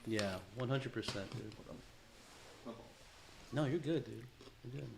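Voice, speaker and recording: A man's voice: mid-range voice, slow pace, vocal fry, darkish tone, slight background noise. A man in his thirties hosting a podcast in casual chat, easygoing and probing, swearing now and then with lots of fillers. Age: 30s. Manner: easygoing, swears, asks probing questions, many fillers and restarts. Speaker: podcast host.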